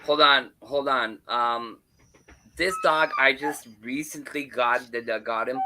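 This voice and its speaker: A man's voice: medium pitch, takes it slowly, noisy background. A man, chatting as a guest on a livestream, rambling with fillers and restarts. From Hamilton, Ontario, Canada. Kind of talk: casual chat on a livestream.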